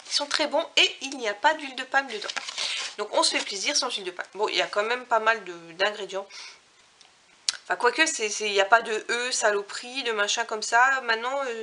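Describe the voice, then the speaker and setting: Chatty French vlogger woman, fairly high voice. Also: sharp tone. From Alsace, France. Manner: chatty, hesitant, many fillers and self-corrections; reads out ingredient lists. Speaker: vlogger reviewing finished products. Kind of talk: casual chat to camera.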